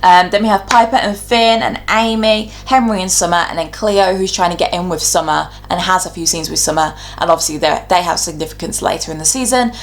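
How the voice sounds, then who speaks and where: A woman's voice: lowish voice, a little fast, hardly any pauses. A young adult woman giving casual, opinionated video commentary, talking chattily and explaining her reasoning point by point. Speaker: YouTube host giving ranked commentary.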